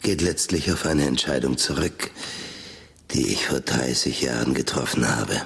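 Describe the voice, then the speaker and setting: A man's voice: very deep voice, animated, normal speed, gravelly, fairly bright tone, heavily acted. An actor playing a rich, brooding older man in a radio drama monologue, musing philosophically and ponderously, at times dropping toward a whisper. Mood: wistful, resigned.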